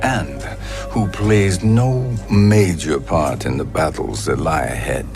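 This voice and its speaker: A man voicing a cunning, scheming sorcerer in a video game, with a deep, measured delivery. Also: exaggerated voice. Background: game score music.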